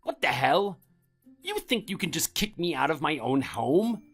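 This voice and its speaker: A man playing an arrogant, whiny husband in an audio drama, blustering with a husky voice. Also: on the high side, big pitch swings, unhurried, soft background music, heavily acted. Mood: indignant, defiant. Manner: indignant, blustering, pleading. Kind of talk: amateur audio drama acting.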